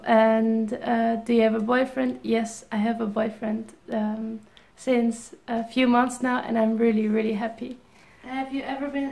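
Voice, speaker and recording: A woman's voice: a little high, creaky, reverberant. A Dutch-accented woman in her twenties, reading out viewer questions and answering them casually with ums and restarts. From Netherlands. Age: 20s. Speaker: airline pilot vlogger. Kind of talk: casual Q&A vlog.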